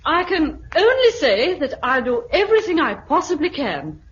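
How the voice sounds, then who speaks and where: An actress playing a modest, proper, earnest nurse in 1950s radio drama style. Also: female voice, high-pitched, big pitch swings, moderate pace, fairly bright tone. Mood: earnest, nervous. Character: modest, flustered but earnest woman senior nurse. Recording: dull, hissy 1950s radio recording.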